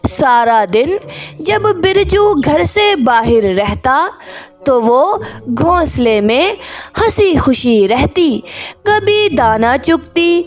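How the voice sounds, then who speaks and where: High, very slow, animated woman storyteller. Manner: deliberate, phrase-by-phrase storytelling. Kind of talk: scripted children's cartoon narration.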